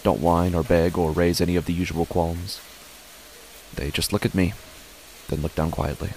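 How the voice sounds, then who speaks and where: A man plays a father narrating a first-person horror tale. His voice is very low and he speaks in deliberate, short phrases. A faint hiss lies behind the words. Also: flat delivery, darkish tone, low-quality recording. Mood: sombre, tense. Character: father narrating his family's uneasy stormy night.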